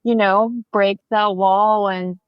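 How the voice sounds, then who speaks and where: Brazilian-accented woman, interview guest; warm, chatty, unhurried, dull dry recording. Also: mid-range voice, little pitch movement, clean tone, no echo. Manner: friendly, chatty, many fillers and restarts. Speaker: family photographer, guest. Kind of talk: casual interview chat.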